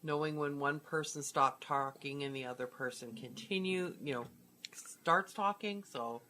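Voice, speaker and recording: A woman chats casually as a co-host. Her voice sits quite low, and there is faint room noise behind her. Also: a little slow, sharp tone. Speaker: co-host, co-producer. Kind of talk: casual chat.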